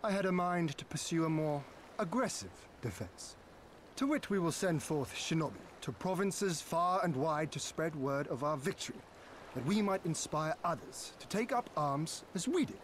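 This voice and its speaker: An actor playing a noble, resolute young lord in a video game, speaking in formal, archaic, measured phrases. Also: male voice, a little high, lively intonation, a little hiss or hum, close to an everyday voice. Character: noble young lord leading a liberated nation, resolute and gracious.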